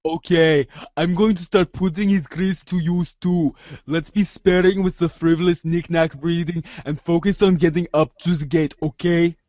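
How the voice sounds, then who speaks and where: A man acts as a sardonic, articulate teenage girl. The voice is a little high for a man, deadpan and formal, in a comedic readers' theater with a close, slightly dull sound. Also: normal speed, dry recording, close to an everyday voice. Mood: dry, skeptical.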